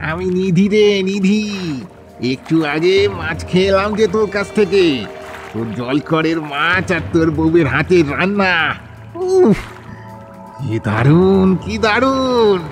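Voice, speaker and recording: Man as a slow, greedy village ghost. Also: sits high, sing-song, over music, full character voice. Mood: gloating. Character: burnt, greedy, menacing male fish-thief village ghost. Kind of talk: cartoon horror acting.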